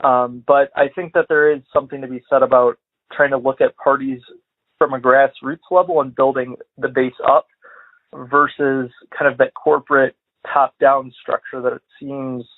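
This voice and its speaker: A man from Illinois leaving a voicemail for a podcast, talking in a measured, hesitant way with lots of fillers. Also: mid-range voice, steady tone. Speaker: caller. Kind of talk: voicemail commentary. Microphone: phone. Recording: tinny phone-line voicemail.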